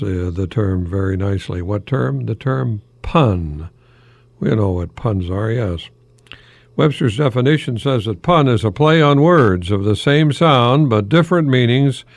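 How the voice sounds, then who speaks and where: An older American man hosting a folksy solo radio talk in a halting, rambling, easily amused manner. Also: fairly low pitch, vocal fry, dry recording. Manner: chuckles often at his own remarks, halting and rambling. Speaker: radio host, longtime English professor.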